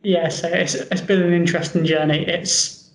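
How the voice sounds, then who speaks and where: A man's voice: fairly high pitch, level tone, moderate pace, roomy sound. A man with a London accent, chatting upbeat as an interview guest. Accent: London English. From England. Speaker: guest, medical device founder. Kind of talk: casual interview talk.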